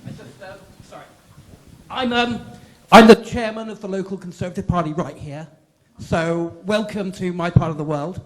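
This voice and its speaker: An older man speaks loudly from the audience of a large hall as a local party chairman. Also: sits high, moderate pace, long pauses, a crowd in the background. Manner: loud, declaiming voice.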